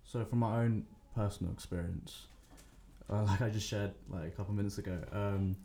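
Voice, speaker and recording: A nineteen-year-old man speaks as a podcast guest, hesitant and full of restarts. His voice is low and gravelly. A faint hiss sits behind it. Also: steady tone, normal speed, warm tone. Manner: hesitant, many ums and restarts. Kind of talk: casual podcast chat.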